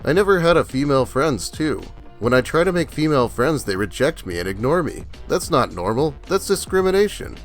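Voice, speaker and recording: A man's voice: mid-range voice, big pitch swings, a little fast, few pauses, faint music behind, natural voice. A man reading as a self-pitying, aggrieved teenage male in plaintive broken English for a comedy video.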